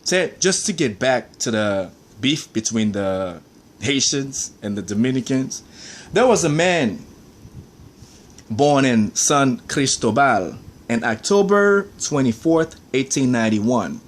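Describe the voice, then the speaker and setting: A man's voice: mid-range voice, big pitch swings, takes it slowly. A man ranting in a heated, preachy monologue, peppered with "man" and "I mean".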